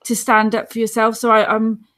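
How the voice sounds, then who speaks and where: A woman's voice: fairly high pitch, completely flat delivery, moderate pace, a bit bright. A woman, chatting earnestly on a video call from home, rambling and restarting as she tells stories. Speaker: guest on support show. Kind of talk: casual video-call chat. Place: dim room at home. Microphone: earphone headset on video call.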